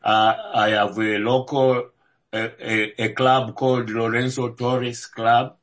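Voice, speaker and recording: An older man from Zambia and South Africa introducing himself as a candidate at a public meeting, speaking in a measured way with frequent hesitations. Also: medium pitch, level tone, fairly bright tone. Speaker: board candidate.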